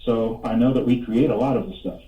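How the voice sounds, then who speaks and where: A man in his fifties telling stories as an interview guest in a halting, rambling way, repeating words as he goes. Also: medium pitch, steady tone. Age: 50s. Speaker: radio show guest, paranormal investigator. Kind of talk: casual interview storytelling. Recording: tinny phone line, echoey, distant.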